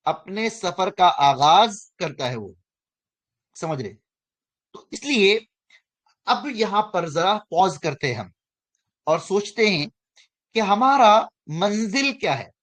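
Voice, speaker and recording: A man's voice: sits high, big pitch swings, slow, long pauses, fairly bright tone. A man in his thirties teaches a finance class to students online. He talks to them directly, checks again and again that they follow, and fixes his own slips as he goes. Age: 30s. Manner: repeats and checks in with students, self-correcting. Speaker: finance course instructor.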